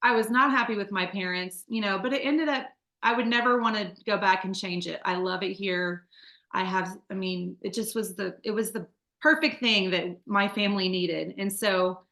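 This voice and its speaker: A woman's voice: medium pitch, steady tone, moderate pace, pushed and bright, roomy sound. A woman in her forties from the Midwest, sharing her life story as an interview guest in a candid, chatty way with many fillers and restarts. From Midwest United States. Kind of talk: conversational testimony.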